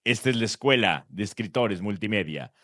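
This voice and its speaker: A man playing an opinionated writer who speaks in a measured way in scripted educational audio fiction. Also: fairly low pitch, lively intonation, a bit bright.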